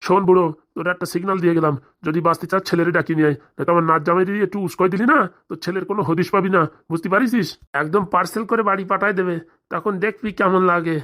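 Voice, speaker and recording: Give me an actor doing an argumentative cartoon villager, blustering at extremely high speed. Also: steady tone, racing, a bit bright, close to an everyday voice. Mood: threatening. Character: quarrelsome village characters, threatening elder and evasive father. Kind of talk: comedy cartoon voice acting.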